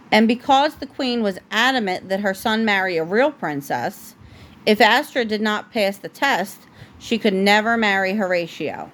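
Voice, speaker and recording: A woman reading a storybook aloud as a parent at home, with casual asides and the odd stumble over words. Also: mid-range voice. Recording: noisy home recording.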